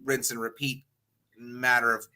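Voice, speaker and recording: Male panelist, very bright, forward voice, mid pitch, rambling opinionated casual talk with easy swearing. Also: level tone, measured pace. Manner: rambling, emphatic, casually profane.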